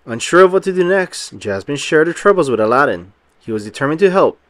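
A man narrates a children's picture book with animated emphasis. His voice sits fairly high and swings widely in pitch. The sound is close and dry. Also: fairly quick, dry recording. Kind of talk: expressive scripted storybook narration.

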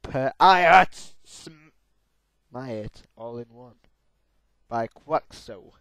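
A hoarse young man with a sore throat, giving excitable let's-play commentary while gaming. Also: medium pitch, very slow, dry recording. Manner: excitable, yelps and laughs at crashes. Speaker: gamer.

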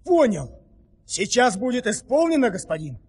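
An actor playing an eager, obedient, fleet-footed servant in an audio play, brisk and deferential. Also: male voice, very high-pitched, big pitch swings, a little mellow, slightly rough, full character voice.

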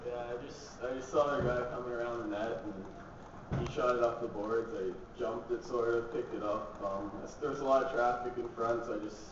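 A man's voice: mid-range voice, fairly even intonation, fairly quick. A male hockey player modestly describing a goal at a press conference. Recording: echoey, distant, dull, noisy.

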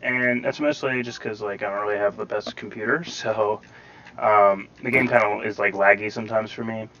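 A man's voice: on the low side, fairly even intonation, moderate pace, a bit bright, slight background noise. A man giving casual game tutorial commentary, with a slightly robotic, distorted sound to his voice. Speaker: gameplay guide host. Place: voice-over over game footage. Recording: muffled, robotic-sounding home recording.

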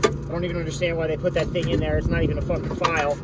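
A man's voice: a little high, level tone, rapid-fire, heavy background noise. Blunt young man talking shop. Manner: blunt, swears freely, explains as he works. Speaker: welder vlogger. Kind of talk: casual how-to commentary. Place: garage workshop and truck cab, in winter.

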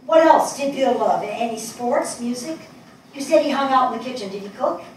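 An older woman playing a gentle, encouraging writer in a staged play reading, reassuring and prompting with questions. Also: on the high side, moderate pace, lightly acted. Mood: gently probing. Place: small theatre stage before a seated audience. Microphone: distant camera microphone. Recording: very echoey hall, distant microphone, faint noise.

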